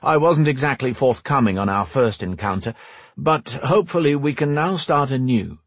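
A man's voice: mid-range voice, expressive, normal speed, slightly rough, no room sound, pitched above the performer's own voice. A man voicing a suave, formally courteous male rogue with an amiable manner in an audiobook. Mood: conciliatory. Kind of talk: audiobook character dialogue.

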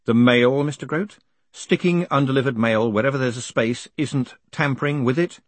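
A man's voice: fairly high pitch, sing-song, normal speed, husky, no room sound, pitched above the performer's own voice. A man voicing a weary, sceptical man in charge, dry and sardonic, in an audiobook. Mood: stern. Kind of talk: audiobook character acting.

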